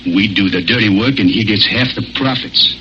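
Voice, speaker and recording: Scheming gangster, very hard-edged forceful voice, American slang, bossy, 1940s radio acting, lo-fi recording. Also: male voice, lowish voice, normal speed. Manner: gruff, commanding, slangy. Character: bossy, scheming male crook, tough gangster. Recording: dull, slightly noisy 1940s radio recording.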